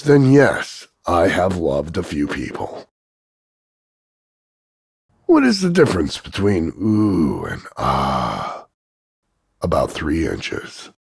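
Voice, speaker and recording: A man voicing a cocky, crude macho action hero, gravelly and husky, delivering smug deadpan one-liners in a slow drawl of punchlines. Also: lowish voice, no echo, clean studio sound, heavily acted. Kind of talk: voice-over demo of insults and one-liners.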